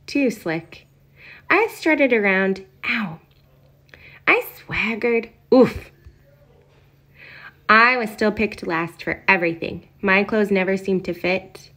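A woman voicing an awkward, self-doubting young bean who tells his own storybook tale with earnest drama and pauses. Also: medium pitch, lively intonation, sharp tone, full character voice. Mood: self-conscious.

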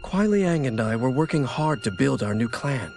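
A man's voice: mid-range voice, animated, normal speed, music in the background, close to an everyday voice. An actor playing a reflective, compassionate martial-arts warrior, telling his story sincerely in a video game. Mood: grave, reflective. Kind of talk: scripted video game ending narration.

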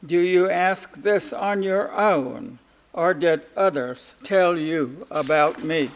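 A man reading the lines of a calm, solemn male prophet on trial in a liturgical Passion reading, slow and measured. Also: on the high side. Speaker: scripture reader.

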